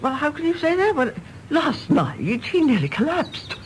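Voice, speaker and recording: A woman's voice: high voice, big pitch swings, normal speed, slight background noise. An actress playing an anxious, domineering older English mother in a radio drama, querulous and imperious. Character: anxious, domineering, self-pitying mother of a grown son. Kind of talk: British radio drama acting.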